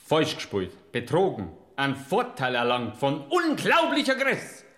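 An actor playing an indignant heavenly accuser in a radio play, with a Bavarian accent. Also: male voice, a little high, huge pitch swings, measured pace, fairly bright tone, reverberant, exaggerated voice. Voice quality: indignant, scolding.

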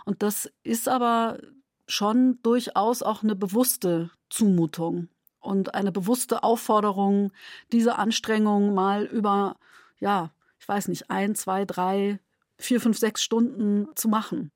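A woman's voice: medium pitch, fairly even intonation, takes it slowly, darkish tone, no echo, studio quality. A woman who produces radio drama, explaining her work in a considered interview statement.

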